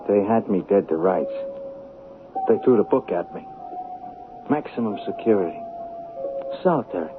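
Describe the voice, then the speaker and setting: A man's voice: lowish voice, lively intonation, normal speed, long pauses, natural voice. Tinny radio, agitated male hustler, played by an actor. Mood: haunted, bitter. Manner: agitated, melodramatic. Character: desperate, unpleasant male hustler and convicted killer. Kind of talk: 1970s radio drama acting. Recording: narrow phone-like old radio, loud music behind.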